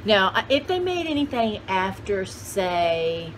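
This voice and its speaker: Slow-talking older woman, noisy room. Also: medium pitch, big pitch swings. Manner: folksy, exclamatory, talks to herself and viewers. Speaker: vlogger showing vintage finds. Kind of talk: casual rambling chat to camera. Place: cluttered indoor room with shelves.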